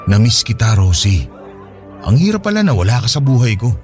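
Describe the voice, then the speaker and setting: A man's voice: mid-range voice, very animated, brisk, faint music behind, natural voice. An actor playing a remorseful young man with an earnest, pleading delivery and a Filipino accent in a radio drama. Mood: apologetic, earnest. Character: remorseful, pleading young man winning back his girlfriend.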